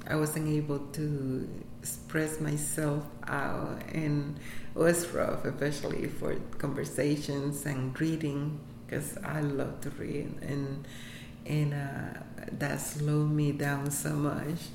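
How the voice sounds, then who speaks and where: A woman from Puerto Rico whose first language is Spanish speaks English with a Puerto Rican accent, answering interview questions in a halting way with many fillers and laughs. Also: sits low, little pitch movement, gravelly. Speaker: interviewee, health center employee. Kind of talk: casual interview. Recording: echoey room, faint background noise.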